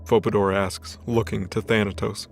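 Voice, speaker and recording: Male narrator, lowish voice, flat even intonation, scripted fiction reading, soft music behind. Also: moderate pace, creaky. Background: background music.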